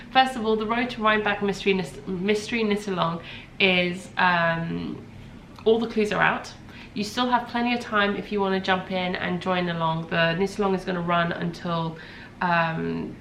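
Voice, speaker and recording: A woman's voice: fairly low pitch, a bit bright. A woman in her thirties hosting a podcast, chatting casually and rambling with lots of ums, a little congested from a cold. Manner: rambling, chatty, with many ums and self-corrections. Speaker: podcast host. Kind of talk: casual chat. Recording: echoey room with faint ambient noise.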